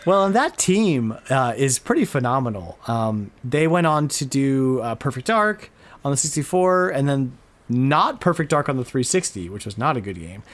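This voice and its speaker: A man's voice: medium pitch, big pitch swings, normal speed. A man hosting a podcast in an informal, enthusiastic, slangy way, chatting casually about retro games. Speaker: podcast host.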